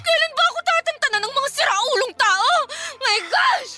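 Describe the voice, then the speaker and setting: A woman's voice: very high-pitched, animated, normal speed, over music. A woman acting a hurt, outraged young girlfriend in a Filipino radio drama. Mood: outraged, disgusted. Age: young adult. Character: hurt, outraged young woman confronting her deceitful boyfriend.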